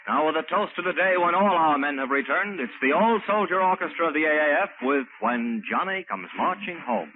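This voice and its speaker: A man's voice: fairly high pitch, expressive, brisk, few pauses, background noise. An American man announcing from a script in formal, ceremonious 1940s radio style. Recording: tinny 1940s radio broadcast, music behind. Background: music.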